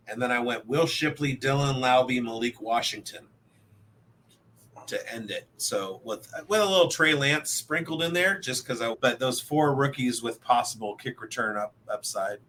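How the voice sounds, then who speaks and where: A man's voice: medium pitch, a little slow, pushed and bright. A man hosting a casual podcast, upbeat and teasing with his guests. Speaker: podcast host. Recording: faint background hiss.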